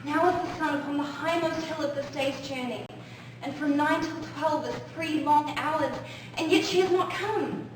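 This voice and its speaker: A woman's voice: high-pitched, normal speed, natural voice. A woman playing an eager, impatient teenage girl in love, declaiming and pleading in Shakespearean stage style. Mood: impatient, longing. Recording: very echoey, distant, noisy stage recording.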